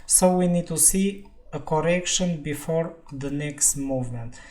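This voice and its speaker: Slow, mellow-voiced trading analyst. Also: mid-range voice. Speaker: trading analyst and channel host. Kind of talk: chart walkthrough trade review.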